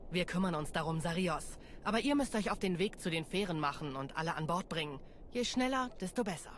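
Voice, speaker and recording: Low-voiced, stern female Jedi commander played by an actress. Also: expressive, brisk, a little hiss or hum, natural voice. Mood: resolute. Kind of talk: dubbed video game cutscene acting.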